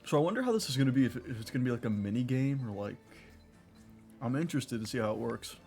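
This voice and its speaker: Young male game streamer, mid-pitched. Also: lively intonation, fast, darkish tone, faint music behind. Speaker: streamer reacting to a video game. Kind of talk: casual live commentary.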